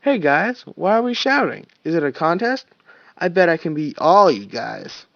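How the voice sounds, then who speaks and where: A man voicing an excitable, childlike young soldier in a fan story reading, with a high, eager, rambling delivery. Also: normal speed, gravelly, no room sound, muffled recording, exaggerated voice. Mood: excited.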